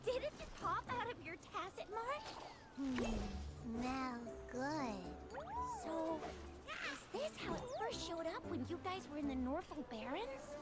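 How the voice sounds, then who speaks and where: A woman's voice: very high voice, very animated, a little slow, loud music behind the voice, heavily acted. A woman voicing a perky, curious young girl in an action game, playful and inquisitive. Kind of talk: video game voice acting.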